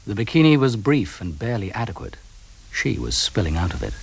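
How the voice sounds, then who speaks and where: An actor playing a put-upon young police officer with a husky voice, narrating a radio crime drama in a dry, clipped manner. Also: male voice, fairly low pitch, sing-song, brisk, slight background noise. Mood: matter-of-fact. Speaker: detective sidekick and narrator character.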